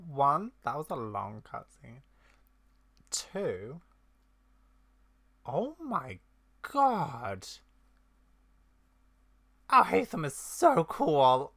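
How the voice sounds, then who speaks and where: A woman's voice: deep voice, sing-song, drawn-out words, creaky, pauses often, a bit bright. A woman streaming a game with casual reactions, gushing and drawing out her words for emphasis.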